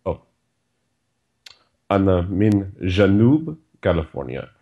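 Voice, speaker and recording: A man from Southern California practicing phrases as a language student, hesitant and halting. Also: low-pitched, very animated, lo-fi sound.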